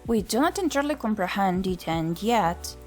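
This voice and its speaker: Lively, precise alien hive-mind played by a woman. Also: mid-range voice, normal speed, soft background music, lightly acted. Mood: formal, analytical. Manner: stilted, precise, speaks as "we". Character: formal female alien hive-mind, precise, analytical, pleased. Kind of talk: visual novel fan dub.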